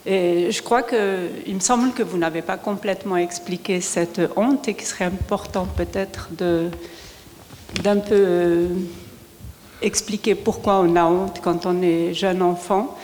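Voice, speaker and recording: A woman's voice: fairly low pitch, steady tone, measured pace, a little mellow. A woman in the audience asking the panel an earnest, probing question. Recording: reverberant hall with faint room noise.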